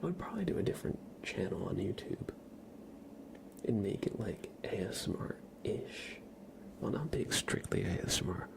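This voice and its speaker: A man streaming ASMR, chatting casually to viewers in a soft, breathy whisper with a slightly stuffy nose. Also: on the low side, big pitch swings, normal speed. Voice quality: breathy whisper with a creaky edge. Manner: soft-spoken, rambling, casual.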